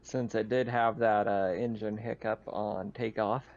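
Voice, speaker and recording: A man running a tabletop roleplaying game as game master, conversational and halting as he thinks aloud. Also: lowish voice, flat delivery, darkish tone, muffled recording.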